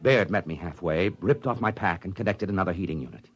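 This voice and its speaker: An actor narrating as a male astronaut in a 1950s radio drama, measured and dramatic. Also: low voice, animated, muffled recording. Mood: tense. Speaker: narrator in the story. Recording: old radio broadcast with music beneath.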